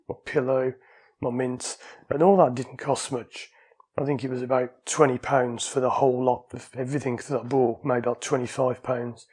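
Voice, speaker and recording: A man's voice: medium pitch, darkish tone, husky. A man from the UK talking casually to camera in a vlog, chatty and rambling, often correcting himself mid-sentence. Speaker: vlogger.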